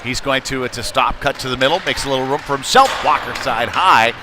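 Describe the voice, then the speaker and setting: A man's voice: medium pitch, fairly quick. A man doing live play-by-play of a youth hockey game in a conversational, somewhat hesitant and unpolished way. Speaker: play-by-play sports commentator. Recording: noisy live rink ambience. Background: ice rink game noise.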